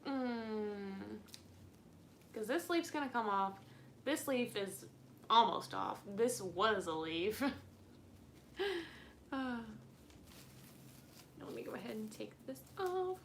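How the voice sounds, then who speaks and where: Young woman rambling on a live stream, giggly and chatty, kinda high voice, faint hum behind. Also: big pitch swings, slow, pauses often. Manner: bubbly, giggly, rambling, with lots of "like". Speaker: plant channel host. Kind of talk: casual live stream chat.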